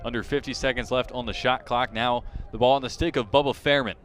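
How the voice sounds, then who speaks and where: A man calling live sports play-by-play as a broadcast commentator, lifting his excitement when the action peaks. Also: mid-range voice, fast. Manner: excitement rises sharply on big plays.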